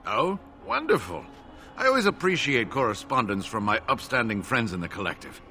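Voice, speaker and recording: An actor playing a formal, curt male knight commander in a fantasy video game, speaking with measured courtesy. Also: medium pitch, sing-song, bright, forceful tone. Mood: courteous, formal.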